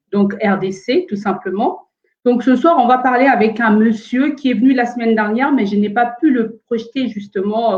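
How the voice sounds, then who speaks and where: A woman's voice: medium pitch, darkish tone, highs cut off. A woman from France of Congolese origin hosts a casual live stream from home, hesitant and full of fillers while she fights sound problems.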